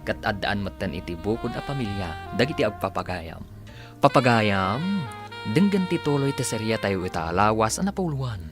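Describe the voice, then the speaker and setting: A man announcing and narrating a radio drama serial in a scripted, theatrical style with drawn-out emphasis. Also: on the low side, big pitch swings. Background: music.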